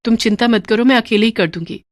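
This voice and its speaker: A woman voicing a gentle, patient young daughter-in-law who speaks softly in a storybook family drama. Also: fairly high pitch, quick pace, no room sound, clean studio sound, close to an everyday voice. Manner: soft, patient.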